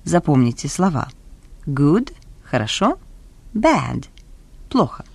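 Calm lesson narrator, native russian woman, lively intonation, dry close sound. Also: deep voice, slow, vocal fry, slightly rough, no echo. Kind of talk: scripted narration.

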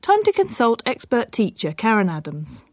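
A woman radio presenter interviewing a learner and narrating in a clear, measured way. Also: on the high side, wildly swooping pitch, darkish tone, no echo, studio quality.

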